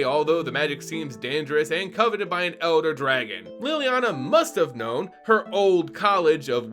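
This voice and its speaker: A man hosting a fantasy lore channel who gives an expressive dramatic story reading, then commentary with playful teacher asides, over soft guitar music. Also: on the high side. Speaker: lore channel host and narrator.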